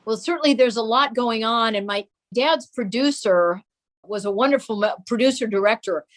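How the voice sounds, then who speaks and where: Higher-voiced American woman telling anecdotes. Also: normal speed. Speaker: guest, daughter of a TV performer. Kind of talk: casual anecdotal reminiscence.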